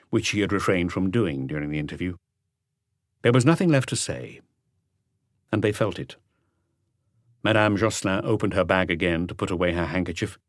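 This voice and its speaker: A man reading a mystery audiobook as scripted narration, measured and composed, close on the mic. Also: sits low, no room sound.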